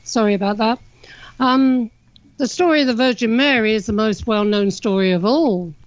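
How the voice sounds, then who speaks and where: Woman cohost chatting, voice going on her, highish pitch, muffled lofi sound. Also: normal speed, no room sound. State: losing her voice. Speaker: radio show co-host. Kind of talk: casual conversation.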